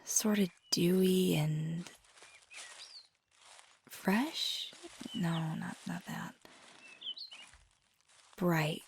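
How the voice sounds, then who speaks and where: A woman playing a feisty, affectionate magical girlfriend in an intimate ASMR roleplay, speaking softly and tenderly, often near a whisper. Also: fairly low pitch, extremely slow, creaky, pauses often, a little hiss or hum, heavily acted. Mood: warm, affectionate. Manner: tender, teasing, lapsing into whispers.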